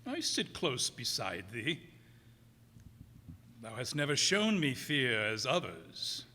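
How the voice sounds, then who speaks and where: A man's voice: mid-range voice, very animated, takes it slowly, pushed and bright, full character voice. Man acting a brooding, dramatic old-time sea captain. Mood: bitter, menacing. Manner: archaic, dramatic delivery with thee and thou. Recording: echoey hall with long reverb.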